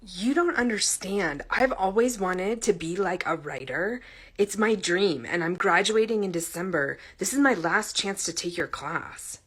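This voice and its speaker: A woman's voice: lowish voice, expressive, a little slow, breathy edge. A woman voicing a pushy, entitled young female college student who pleads in ditzy, filler-laden speech for an audiobook. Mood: pleading.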